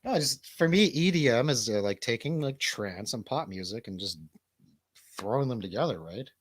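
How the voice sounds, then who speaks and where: A man's voice: mid-range voice, lively intonation, normal speed, no echo. A man co-hosting a livestream in casual banter, with a rambling, slangy, jokey way of talking. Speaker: livestream co-host.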